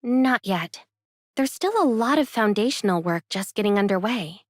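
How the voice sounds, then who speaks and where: A woman voicing an earnest, excitable young character in an anime game, speaking expressively. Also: medium pitch, normal speed, no room sound, clean studio sound, close to an everyday voice. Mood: polite, composed.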